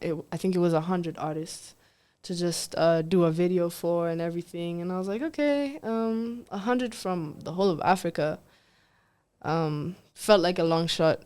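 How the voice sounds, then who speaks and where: A woman's voice: on the low side, moderate pace, clean tone. A young woman from Kenya, a singer, chatting casually as an interview guest and laughing often between her words. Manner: relaxed, laughs often, fills with "like" and "um".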